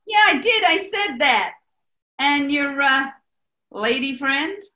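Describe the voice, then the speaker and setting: A woman playing a smooth-talking, flattering New bar owner in a 1940s-style radio comedy. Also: high voice, takes it slowly, echoey room, full character voice. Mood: pleased, flirtatious.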